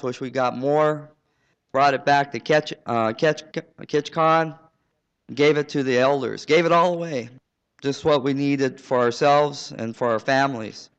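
A man from southeast Alaska speaks at a public hearing, earnest and halting, often restarting his words. His voice is smooth and resonant in the middle range. The recording is dull and lo-fi. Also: mid-range voice. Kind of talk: public testimony.

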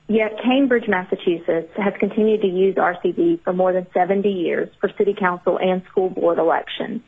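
A woman with a Southern US accent, an expert witness reading prepared remarks to a committee in a measured, formal way, heard over a telephone. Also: mid-range voice. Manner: measured, formal delivery with occasional fillers. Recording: narrow phone-line audio.

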